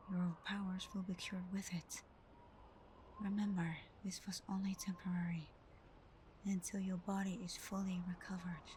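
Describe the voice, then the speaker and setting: Casting: actress as tender, wounded female vampire lover for ASMR roleplay; soft half-whisper, unhurried hesitant delivery, faint noise behind. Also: mid-range voice, close to an everyday voice. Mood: guarded affection. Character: caring, hurt vampire girlfriend. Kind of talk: ASMR roleplay, intimate scripted acting.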